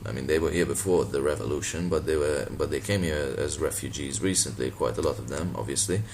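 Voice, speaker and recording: A man from Malta, a native Maltese speaker, with a deep voice, talking casually and sardonically in a response video, laughing and swearing as he goes. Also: brisk, darkish tone, slight background noise. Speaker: boxing channel vlogger.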